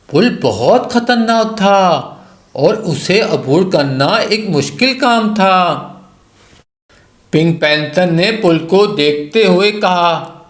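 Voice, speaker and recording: High-pitched, slow-paced male storyteller. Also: reverberant. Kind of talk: scripted children's story narration.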